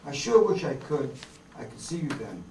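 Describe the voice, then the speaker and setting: A man's voice: medium pitch, sing-song, moderate pace, darkish tone, voice pushed down, natural voice. A man playing an earnest, idealistic young farm-born outlaw in folksy rural dialect, in a staged radio drama reading. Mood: regretful. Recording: roomy hall, distant mic, faint noise.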